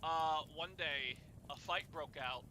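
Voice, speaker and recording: A young man telling personal stories casually, in a halting way with repeated words and restarts. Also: a little high, pushed and bright, a little hiss or hum. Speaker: storyteller and host of a group chat.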